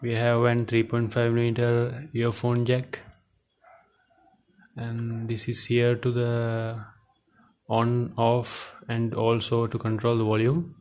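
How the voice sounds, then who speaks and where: A man giving an unscripted product demonstration in halting, hesitant short phrases, as the presenter of a gadget teardown video. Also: medium pitch. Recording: clear steady background noise.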